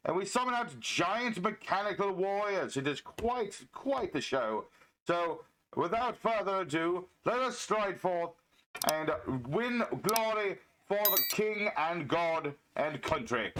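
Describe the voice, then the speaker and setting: A man's voice: fairly high pitch, a bit bright, slight background noise, poor audio. A man playing a pompous, chivalrous medieval knight, commentating on a card game in mock-archaic speech. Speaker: gaming video host and player.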